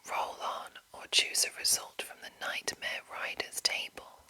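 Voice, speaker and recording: A woman speaking in an unvoiced whisper, hushed and deliberate, as an ASMR host reading aloud from a game book. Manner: hushed, slow, deliberate enunciation.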